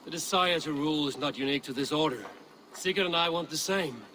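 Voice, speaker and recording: An actor playing a proud, skeptical Viking warrior in a video game, with a dry, wry delivery and old-fashioned phrasing. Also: male voice, on the high side, quick pace, pushed and bright, slight background noise, low-quality recording. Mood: sincere. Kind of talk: video game cutscene acting.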